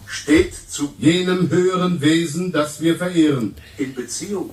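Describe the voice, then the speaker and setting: A lofty, self-important lecturer, heard on tape playback in a radio play. His voice sits high and rings out with a slow, solemn delivery. It comes from far off in a roomy space. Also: darkish tone, slight background noise. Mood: pompous. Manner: solemn, declaiming. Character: pompous, self-important male essayist lecturing. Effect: played back from tape.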